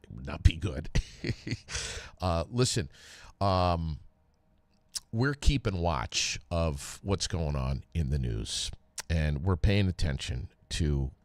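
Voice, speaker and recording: A very deep-voiced American man hosts a casual solo podcast. He talks slowly, pausing often as he gathers his words. The sound is dry and close on the microphone. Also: animated, no room sound. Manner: halting, conversational delivery with frequent pauses. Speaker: podcast host, former radio DJ. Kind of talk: casual solo podcast talk with read sponsor plug.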